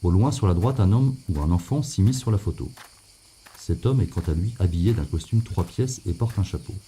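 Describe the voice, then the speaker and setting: A man's voice: deep voice, expressive, a little fast, warm tone, a little hiss or hum. A man giving a scripted, descriptive narration.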